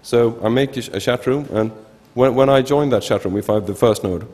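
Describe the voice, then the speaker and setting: A man in his thirties from Sweden, with a Swedish accent, giving an informal technical conference talk in a halting way, often restarting words. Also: mid-range voice, a little mellow. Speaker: researcher presenting at a conference. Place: large indoor conference hall, at a lectern. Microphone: gooseneck lectern microphone. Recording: reverberant lecture hall.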